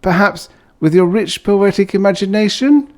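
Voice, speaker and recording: A man's voice: high voice, normal speed, darkish tone, pitched above the performer's own voice, natural voice. A man voicing a probing uncle in an audiobook story, musing and slyly coaxing.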